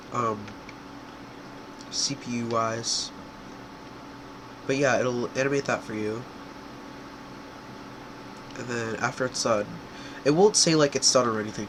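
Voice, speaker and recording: A man's voice: medium pitch, noisy background. Stuffy-nosed young guy rambling thru a tutorial, lots of um and uh, ac humming in the background. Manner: rambling, filler-heavy, self-correcting. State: congested from a cold. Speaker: tutorial creator. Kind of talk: casual screen-recorded software tutorial.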